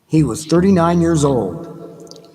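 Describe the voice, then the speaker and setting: A man reading a novel aloud in a dramatic, deliberate, measured style with pauses. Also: fairly high pitch, animated, dark tone. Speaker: audiobook reader. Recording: noisy recording with background ambience. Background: background ambience sounds.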